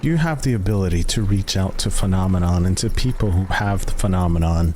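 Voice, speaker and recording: A man narrating a tabletop game as game master, slowly and suspensefully, with long, deliberate dramatic pauses. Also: low-pitched, a little mellow, slight background noise.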